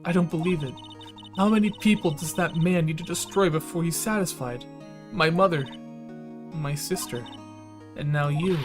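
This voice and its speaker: Man putting on an excitable high-pitched young woman. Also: normal speed, long pauses, music in the background, close to an everyday voice. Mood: upset, pleading. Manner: excitable, eager. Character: earnest, spirited young woman, impulsive and loyal.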